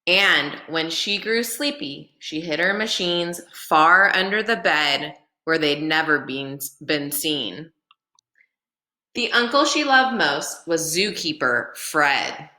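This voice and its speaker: A woman reading a children's picture book aloud, expressively and deliberately, with dramatic pauses. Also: low-pitched, expressive, bright, forceful tone, echoey room.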